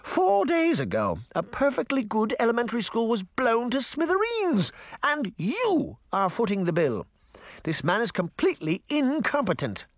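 A furious, pompous fairy-tale queen rants in a high, piercing voice, voiced by a man reading an audiobook. Her pitch leaps wildly from line to line. The sound is dry and close, with no room around it. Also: huge pitch swings, moderate pace, no room sound, exaggerated voice. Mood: ranting. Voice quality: high, strident, raging. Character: shrill pompous fairy-tale queen, middle-aged, ranting. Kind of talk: audiobook character voice.

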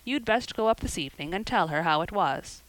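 A woman reading an audiobook, voicing a stern, practical older woman who scolds briskly and plainly. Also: on the low side, expressive, no echo, close to an everyday voice, poor audio. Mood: stern, dry. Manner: brisk, scolding and matter-of-fact. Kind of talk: audiobook character voice.

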